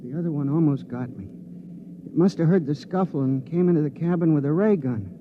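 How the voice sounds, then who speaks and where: Modest little male hero, acted by a man, highish voice, hesitant, polite, 1950s radio drama, tinny noisy recording. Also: brisk, lightly acted. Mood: shaken, modest. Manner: hesitant, polite, self-effacing.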